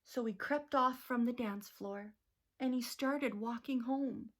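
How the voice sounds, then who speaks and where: A woman teacher reading a rhyming picture book aloud to children, slow and expressive. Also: fairly high pitch.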